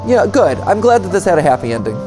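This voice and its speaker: A man's voice: high-pitched, very animated, fast talker, low-quality recording. A man doing casual reaction commentary, reading on-screen text aloud and adding sarcastic, joking asides and exclamations. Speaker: reaction video host. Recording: music behind the voice.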